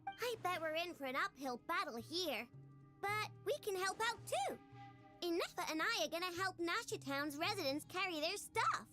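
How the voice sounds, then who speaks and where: A small, childlike, excitable game companion. The voice is tiny and very high, sharp and bouncy, over soft music. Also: female voice, normal speed, full character voice. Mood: upbeat, helpful. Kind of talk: video game voice acting.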